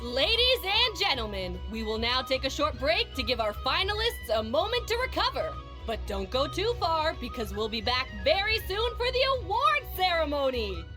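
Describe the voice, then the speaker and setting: A showy tournament announcer from a video game. Her voice is high and bright, with swooping, animated intonation. She presents every line in a polished, upbeat emcee style, over clearly audible music. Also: normal speed, few pauses. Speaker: event announcer. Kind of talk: scripted game dialogue, ceremony emceeing.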